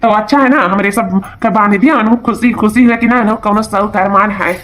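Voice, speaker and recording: A performer voicing a caring young brother back from working abroad in an Awadhi cartoon comedy, calmly soothing and explaining. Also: mid-range voice, brisk, slight background noise, lightly acted. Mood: consoling.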